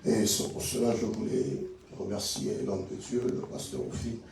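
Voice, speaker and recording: Angolan pastor preaching in an echoey hall. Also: male voice, on the low side, steady tone, normal speed, gravelly, recorded from a distance, a crowd in the background. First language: not French; English and Portuguese speaker. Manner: loose, chatty, asks congregation for words. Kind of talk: sermon with call and response. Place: church hall with congregation. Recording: echoey hall, heard from afar. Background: congregation responding.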